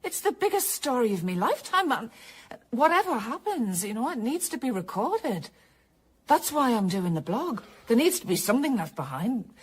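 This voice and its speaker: An actress playing a stubborn, driven journalist and wife in a naturalistic radio drama, with a husky voice. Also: female voice, on the high side, sing-song, moderate pace. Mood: earnest, defiant.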